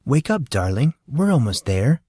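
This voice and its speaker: A man's voice: mid-range voice, big pitch swings, normal speed, warm tone, breathy edge, no echo, clean studio sound. A man voicing a cheery, doting middle-aged woman with a sweet, coaxing, motherly manner in an audiobook. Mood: gentle coaxing.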